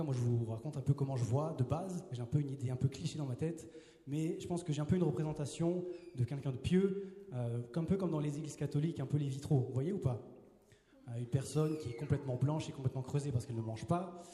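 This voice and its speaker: A man's voice: a little high, dark tone. Playful young man preaching in a hall. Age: 20s. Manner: playful, chatty, asks the crowd questions. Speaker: youth preacher. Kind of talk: casual joking sermon to a youth crowd. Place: large hall with a live audience. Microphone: handheld mic. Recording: roomy hall reverb.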